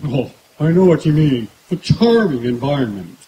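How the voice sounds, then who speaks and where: A man's voice: a little high, very animated, normal speed, dark tone, dull audio. A man playing a polite, genteel, self-satisfied young heir in an old-time radio comedy, performed live outdoors. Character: polite, stuffy, well-bred young male heir. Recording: echoey outdoor stage, faint noise.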